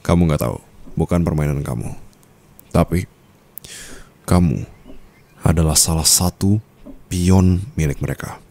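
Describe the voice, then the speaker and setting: A man's voice: creaky, warm tone, exaggerated voice. A man playing a calculating, coolly dominant mafia boss husband in an intimate ASMR roleplay, speaking in a teasing, smug manner. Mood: calm, calculating. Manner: slow, teasing, smug, with low chuckles and hums.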